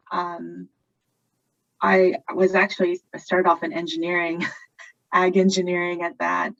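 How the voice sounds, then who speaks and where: A woman speaking on a webinar panel about plant breeding careers, thoughtful and full of fillers. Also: medium pitch. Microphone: video call. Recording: lo-fi video call audio.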